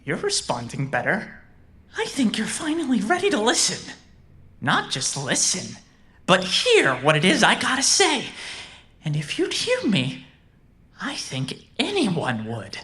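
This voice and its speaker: A coaxing female manipulator in a cyberpunk audio drama. She persuades with slow, deliberate phrases and dramatic swoops around a medium pitch. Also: huge pitch swings, a bit bright. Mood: coaxing, intent.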